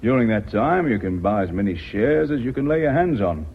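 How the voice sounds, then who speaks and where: An actor playing a ruthless, blunt businessman in British radio drama, speaking in a curt, menacing, deliberate way. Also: lowish voice, very animated, husky, heavily acted. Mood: cold ultimatum. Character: ruthless, blunt businessman pressing a hostile takeover. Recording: slightly dull radio drama recording.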